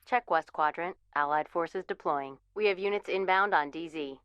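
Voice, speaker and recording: A woman's voice: on the low side, normal speed, a bit bright, clean tone, no room sound, highs cut off, natural voice. A woman playing a composed military command operator, giving clipped, impersonal tactical announcements in a video game.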